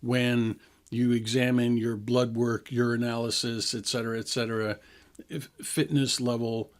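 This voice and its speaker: 60-something guy on talk radio, chatty, explains stuff easy, mid-pitched voice. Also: flat delivery, moderate pace. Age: 60s. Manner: chatty, explanatory, laughs easily. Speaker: radio show host.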